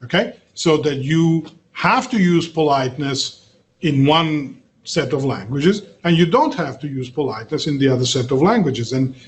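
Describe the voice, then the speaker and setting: A man's voice: fairly high pitch, lively intonation, normal speed. A man from Belgium who grew up in Italy, giving a university lecture in a conversational, hesitant way with frequent fillers. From Belgium, raised in Italy. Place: classroom.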